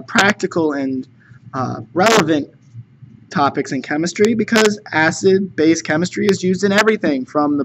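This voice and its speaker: A man teaching chemistry in a recorded lecture, conversational and jokey. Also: fairly high pitch. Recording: noticeable background noise.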